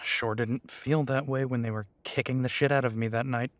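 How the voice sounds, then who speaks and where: A man's voice: medium pitch, fairly even intonation, normal speed, no room sound, close to an everyday voice. A man playing a defiant, bewildered young werewolf who is reluctant to lead, blunt and questioning, in an audio roleplay drama. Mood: confused, sceptical.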